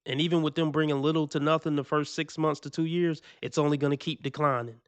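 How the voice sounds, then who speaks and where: A man's voice: on the high side, steady tone, quick pace, clear, resonant tone, no echo. Slangy laughing male stream host. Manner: slangy, laughing, repeats himself for emphasis. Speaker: streamer reacting to clips. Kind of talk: casual reaction commentary.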